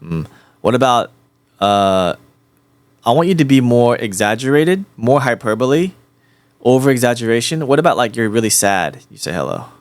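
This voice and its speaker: A man's voice: mid-range voice, sing-song, normal speed, dry recording. A man chatting casually with an AI voice assistant, rambling and repeating himself as he rephrases his questions. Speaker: host.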